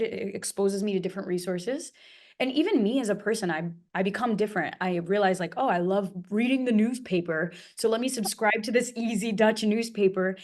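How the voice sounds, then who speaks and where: A woman co-hosting a casual talk about learning languages, animated and thinking aloud with quick fillers. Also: mid-range voice. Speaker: polyglot co-host. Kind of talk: casual conversation.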